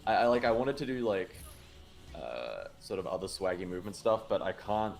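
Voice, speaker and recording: Very deep-voiced man rambling casually. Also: lively intonation, moderate pace, gravelly, soft background music. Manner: rambling, filler-heavy with many likes, laughs often. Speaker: guest, speedrun video creator. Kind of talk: casual chat explaining his gameplay.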